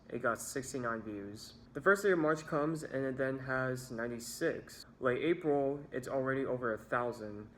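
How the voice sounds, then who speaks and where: A man's voice: mid-range voice, fairly bright tone, low-quality recording. Young man narrating a personal story, background noise. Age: young adult. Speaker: video creator telling a story.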